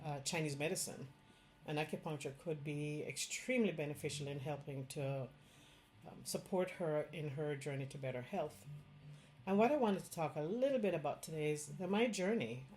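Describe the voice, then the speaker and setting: A woman's voice: deep voice, a little mellow, slight background noise. A middle-aged woman with a Jamaican accent, a Chinese medicine doctor talking earnestly straight to her viewers, lifting her voice on key points. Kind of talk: direct-to-camera health talk.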